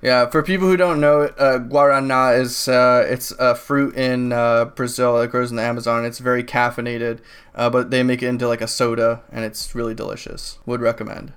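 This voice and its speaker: A man co-hosting a political talk show, speaking in a loose, rambling way full of fillers and restarts. His voice is clean and resonant, at an ordinary pitch for a man. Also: flat delivery, normal speed, a bit bright, smooth. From New England, United States. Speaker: podcast co-host. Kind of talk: casual political discussion.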